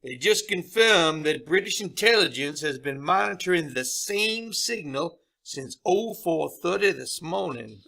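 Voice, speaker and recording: A man playing a brisk, commanding senior military officer in an audio drama, with a clipped, authoritative manner. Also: on the high side, animated, creaky, pushed and bright, heavily acted. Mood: serious, urgent.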